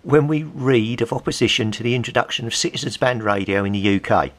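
A man's voice: medium pitch, fairly quick. A middle-aged man with an English accent, chatting casually as he reads bits aloud, with wry, amused asides. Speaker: vlogger, CB radio hobbyist. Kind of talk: casual commentary while leafing through old magazines, reading bits aloud.

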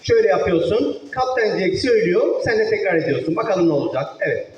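High-pitched man hosting in a very echoey hall. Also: expressive, fast talker, lo-fi sound. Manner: playful, short repeated prompts and questions. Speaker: host of a children's magic show. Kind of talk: live stage show patter addressed to children and parents. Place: large echoing venue with an audience. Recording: echoey hall, far from mic, music behind.

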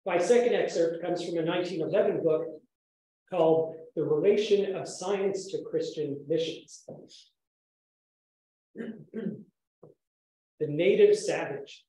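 A man lectures in a very reverberant room. His voice sits fairly high for a male speaker and has a soft, mellow tone. He speaks formally and with measured articulation, as at an academic conference. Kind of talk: academic conference talk with read-out quotations. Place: echoey indoor room, speaker standing while presenting. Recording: very echoey room sound.

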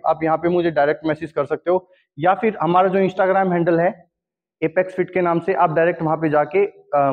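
A man with an Indian accent, a gym equipment seller, walking through a product demo in his showroom, explaining each station while switching between Hindi and English terms. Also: on the high side. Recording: long hall reverb, dull lo-fi sound.

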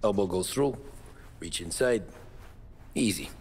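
An actor playing a plain-spoken, wry newcomer to the mafia, in a cinematic video game. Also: male voice, lowish voice, expressive, measured pace, darkish tone, slight background noise. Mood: laconic. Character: plain-spoken young male recruit to the mob.

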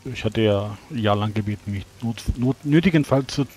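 A man playing a resigned, gravely afflicted adventurer in a tabletop game, speaking in a subdued, halting way. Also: on the low side, darkish tone, natural voice.

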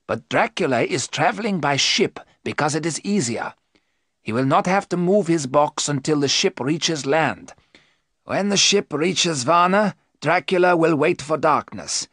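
A man voicing a grave, learned older professor and vampire hunter, speaking emphatically and deliberately in an audiobook story. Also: a little high, lively intonation, a bit bright, husky, no echo, studio quality, voice pushed up, full character voice. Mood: explaining.

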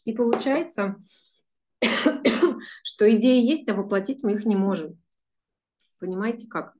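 A woman's voice: a little high, animated, measured pace, a little mellow. A woman in her forties, a business psychologist, earnestly explaining to a group over a video call, with many fillers. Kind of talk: online group talk, explaining.

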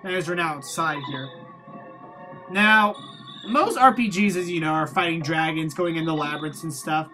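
Bright chatty let's-play voice. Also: mid-range voice, normal speed. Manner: rambling, chatty, reads game text aloud. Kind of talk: casual gameplay commentary. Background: faint game music.

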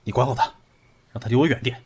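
A man acting in a Chinese audio drama as a brusque, analytical young investigator who speaks in a deliberate, commanding way. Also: mid-range voice, steady tone, a little mellow, breathy edge, natural voice. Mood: curt.

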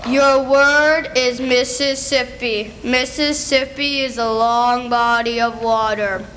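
Woman doing puppet show contest announcer, very formal, high sharp voice, echoey room. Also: fairly even intonation. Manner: deliberate, formal. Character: formal female spelling bee announcer. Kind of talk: amateur puppet show acting. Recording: echoey room, faint noise.

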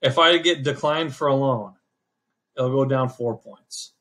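A middle-aged man hosting a casual screen-share walkthrough, talking in a relaxed, rambling way and correcting himself as he goes. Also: mid-range voice, unhurried. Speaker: channel host explaining credit scores.

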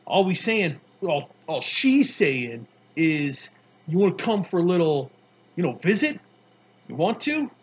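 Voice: mid-range voice, sing-song, unhurried, husky. A narrator voicing a touchy, streetwise boy in a storybook, with slangy, insistent talk that snaps and coaxes. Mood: snappish, coaxing. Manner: slangy, snappish, coaxing.

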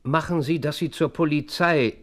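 An actor playing a brisk, commanding detective in an audio drama, curt and imperious. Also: male voice, a little high, lightly acted. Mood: commanding, urgent. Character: brisk, commanding, sharp-minded male detective. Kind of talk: German audio drama acting.

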